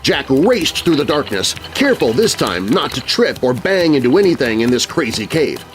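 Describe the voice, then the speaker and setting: A man's voice: mid-range voice, very animated, moderate pace. Male narrator, spooky kids' storytelling, suspenseful, theatrical, very varied intonation, music underneath. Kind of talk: dramatic scripted spooky storytelling for children. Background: music bed.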